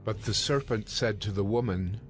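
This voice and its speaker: Man reading scripture as narrator, fairly deep voice, music playing behind. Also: moderate pace.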